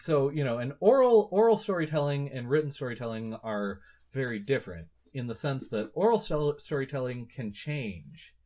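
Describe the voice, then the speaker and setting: A man's voice: medium pitch, expressive, normal speed. A man in his thirties hosting a casual book-discussion podcast, thinking aloud with many fillers and restarts. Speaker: podcast host. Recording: slightly muffled lo-fi audio.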